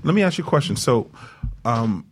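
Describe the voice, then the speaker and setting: A man's voice: mid-range voice, very animated, moderate pace, crowd noise. A man hosting a casual radio interview, asking questions in a conversational way. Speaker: talk show host.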